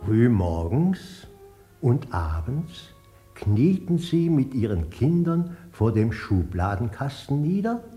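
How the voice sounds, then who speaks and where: A pious village grandfather tells of the old days in a radio play. His voice is fairly low and very slow, with big swings of intonation and deliberate emphasis. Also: mellow. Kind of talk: radio drama monologue.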